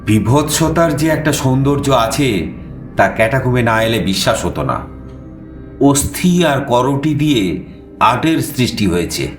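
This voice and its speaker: An actor playing a lively male storyteller who recounts his adventure in animated, conversational first-person narration for an audio drama. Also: mid-range voice, a little slow, close to an everyday voice. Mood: absorbed, vivid. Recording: roomy echo, faint music behind.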